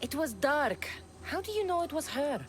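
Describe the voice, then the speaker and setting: A woman's voice: sits high, very animated, normal speed, slight background noise. A woman voicing a tough, level-headed warrior woman in a video game, frank and firm in manner. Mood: questioning. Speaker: investigator and fighter. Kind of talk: video game cutscene acting.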